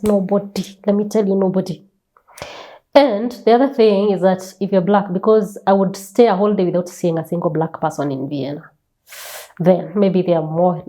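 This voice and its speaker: A woman's voice: fairly low pitch, warm tone. A Kenyan woman speaking as a guest in a conversational interview, telling stories emphatically, repeating phrases and asking rhetorical questions. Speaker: guest author. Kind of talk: animated conversational storytelling.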